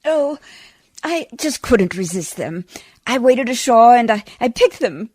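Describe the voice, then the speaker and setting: A woman's voice: a little high, big pitch swings, a little slow, a little mellow, dry recording, studio quality. An actress in a radio play playing a prim, genteel but brave lady, proper and precise, with a fluttery romantic streak. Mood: fluttery, wistful.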